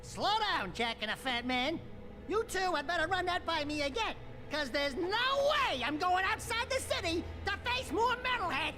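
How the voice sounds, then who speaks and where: A man's voice: very high-pitched, sing-song, normal speed, over music. A man voicing a small furry wisecracking rodent sidekick in a cartoonish video game, brash and glib. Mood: excited.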